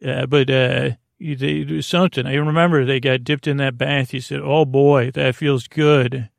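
A man with a creaky voice hosting a bedtime podcast, talking in a meandering, halting, whimsical way full of tangents. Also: mid-range voice, fairly even intonation, no echo, studio quality. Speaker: podcast host. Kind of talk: rambling, tangent-filled bedtime monologue.

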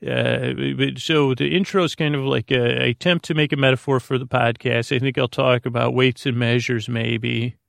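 A man hosting a sleep podcast in a meandering, halting, droning monologue full of tangents and repeats. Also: steady tone, creaky, fairly bright tone, no room sound, studio quality. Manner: droning, low-key. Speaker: podcast host.

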